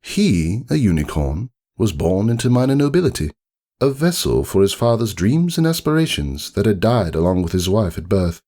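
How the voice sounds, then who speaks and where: A man's voice: low-pitched, darkish tone. A man playing a haughty, melancholy aristocratic unicorn who narrates his own story in a wordy, theatrical, ruminative storybook manner. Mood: storytelling, melancholy. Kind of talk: dramatic storybook reading, first-person monologue.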